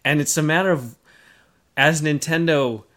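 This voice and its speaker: A man's voice: on the high side, normal speed, fairly bright tone. A man hosting a podcast in a casual panel discussion, talking in an explanatory way and posing rhetorical questions. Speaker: podcast host.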